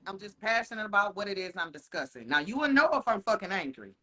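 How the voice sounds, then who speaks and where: A man co-hosting a podcast in casual chat. He talks frankly and swears a lot, laughs often, and slows down to stress his points. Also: high voice, big pitch swings, bright, forceful tone. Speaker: podcast co-host.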